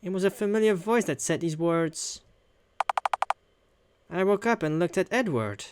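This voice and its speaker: A woman's voice: lowish voice, unhurried, background noise. A woman doing playthrough commentary and reading a visual novel story aloud, with game music behind her. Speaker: gaming YouTuber.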